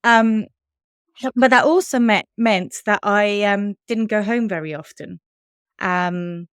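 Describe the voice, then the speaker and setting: A woman in her thirties with an English accent talks candidly on a podcast, laughing now and then. Her voice is smooth and clean. The sound is dry and close. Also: medium pitch, slow, a bit bright, dry recording. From Switzerland. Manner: chatty, candid, laughs often. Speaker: podcast co-host. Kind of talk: casual chat.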